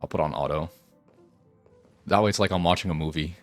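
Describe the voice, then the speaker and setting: A man's voice: sits low, brisk, soft background music. A man doing casual live gameplay commentary as a streamer, rambling and thinking aloud, close to the microphone. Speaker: game streamer.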